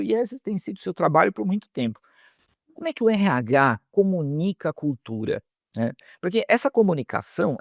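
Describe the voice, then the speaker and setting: A man's voice: on the high side, a little mellow, dry recording, studio quality. A Brazilian man hosting an interview, thoughtful and hesitant, with many "eh" fillers, who asks long questions. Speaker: interviewer host. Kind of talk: casual interview conversation.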